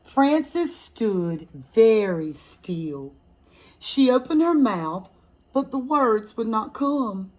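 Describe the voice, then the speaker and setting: A woman teacher reading a picture book aloud to children, expressively and deliberately, pausing to question her young listeners. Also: on the high side, big pitch swings, darkish tone, rough recording.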